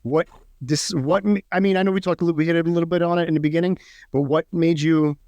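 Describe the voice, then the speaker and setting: A male podcast host talks in a laid-back, casual way, tossing in the odd curse word and plenty of "yeah." His voice sits a little higher than most men's. It is recorded close and dry. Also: fast, no echo. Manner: relaxed, curses casually, frequent "yeah" backchannel. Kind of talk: casual interview chat.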